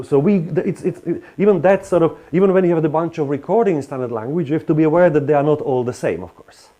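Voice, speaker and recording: A man's voice: mid-range voice, warm tone. A man with a Slovak accent gives a university lecture, talking in a hesitant way with frequent restarts and fillers. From Western Slovakia.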